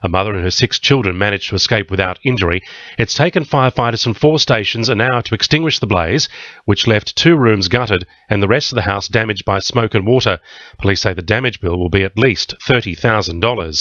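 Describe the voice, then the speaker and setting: A man's voice: on the low side, lively intonation, fairly quick, fairly bright tone, no echo. An Australian man reading a scripted radio news bulletin as the newsreader. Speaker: news reader. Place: dry radio studio. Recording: slightly muffled, dull radio audio.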